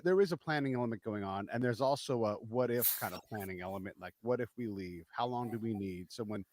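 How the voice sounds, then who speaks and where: A man's voice: medium pitch, no room sound. A man hosting a podcast, asking questions in a casual, conversational way. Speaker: podcast host. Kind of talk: podcast interview.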